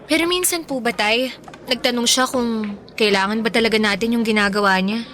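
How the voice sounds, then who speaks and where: Woman as a high, bright Filipino teenage daughter. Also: brisk, slight background noise, close to an everyday voice. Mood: exasperated. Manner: complaining, pouty but respectful. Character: aggrieved teenage daughter about to turn eighteen. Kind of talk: radio drama dialogue.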